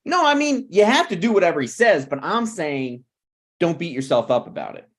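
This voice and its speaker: A man's voice: fairly high pitch, sing-song, moderate pace, fairly bright tone, heavily acted. A man playing an awkward, meddling friend in a sitcom table read, hesitant and apologetic yet blunt. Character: awkward, opinionated, meddling male friend of a married couple. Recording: online video call table read.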